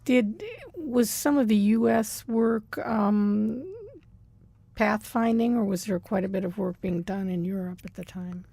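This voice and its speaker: Woman interviewer with a gravelly low-key voice. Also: fairly even intonation, measured pace, warm tone, no echo. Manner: brief, prompting questions. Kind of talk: oral history interview questions.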